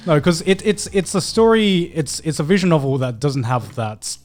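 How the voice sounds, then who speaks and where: A man co-hosting a podcast in casual chat, explaining things in a halting way with repeated starts. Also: on the high side, big pitch swings, soft background music. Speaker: podcast co-host.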